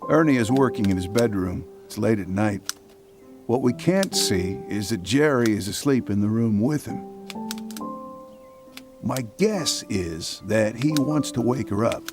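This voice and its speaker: A man giving calm, reflective scripted narration for a radio drama. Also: lowish voice, measured pace, over music.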